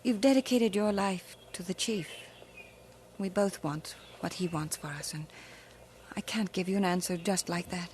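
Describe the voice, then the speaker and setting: An actress playing a shy young woman student, speaking softly and hesitantly, with small stammers, in a radio drama. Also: lowish voice, normal speed, close to an everyday voice. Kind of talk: 1950s radio drama acting.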